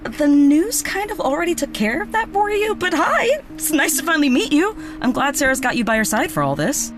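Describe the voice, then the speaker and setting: A woman playing a chatty, excitable young veterinarian with magic, in a naturalistic audio drama. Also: sits high, brisk, music in the background. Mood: concerned, friendly. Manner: chatty, excitable, casual swearing. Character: bubbly, frank young woman vet with magic.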